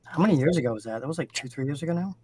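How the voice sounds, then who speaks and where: A man's voice: on the high side, expressive, rapid-fire, crowd noise. A man chatting casually as a guest on a livestream. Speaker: voice actor and audio engineer, guest on a cast livestream. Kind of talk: casual chat.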